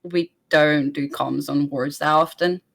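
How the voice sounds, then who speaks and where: A young woman with a Bulgarian accent answers interview questions at an easy pace. Her voice sits fairly low for a woman, and she laughs lightly between points. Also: steady tone, a little slow, vocal fry. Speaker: esports player guest. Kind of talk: post-game interview.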